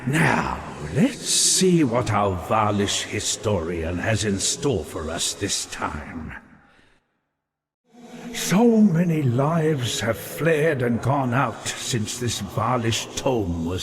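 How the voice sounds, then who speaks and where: A man's voice: lowish voice, big pitch swings, slow pace, full character voice. An actor voicing a scholarly, ghostly male librarian in a fantasy game, speaking in an erudite, florid, musing manner with fascination and melancholy. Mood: reverent, fascinated. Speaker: non-player character in a fantasy game. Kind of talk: theatrical game dialogue, reading and translating old texts. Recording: long hollow reverb, noticeable background hiss.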